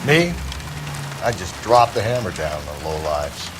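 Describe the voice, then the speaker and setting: An actor playing a gruff, cynical old-school police detective in a video game, blunt, streetwise and dismissive. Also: male voice, deep voice, sing-song, unhurried. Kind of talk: dramatic video game acting.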